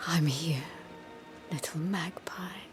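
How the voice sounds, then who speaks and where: An actress playing a middle-aged mother in a fantasy game, tender yet sinister and controlling, with a deliberate, breathy, dramatic delivery. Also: sits low, music in the background. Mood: tender, coaxing.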